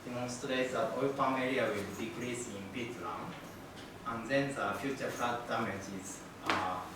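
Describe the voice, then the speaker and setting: Hesitant non-native man lecturing, far off-mic, echoey noisy room. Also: medium pitch. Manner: halting, many fillers, non-native English. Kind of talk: academic presentation.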